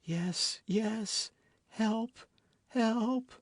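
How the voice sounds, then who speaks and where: A man's voice: sits high, a little slow, a little mellow, no echo, studio quality, voice pushed up. A man voicing a frail young woman who speaks in a faint, fading voice. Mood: faint, dying.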